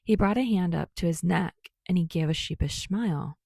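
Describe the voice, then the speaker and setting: A woman's voice: lowish voice, normal speed, creaky, dark tone, no echo, studio quality. A woman reading a story aloud as a scripted narrator. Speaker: fan fiction narrator.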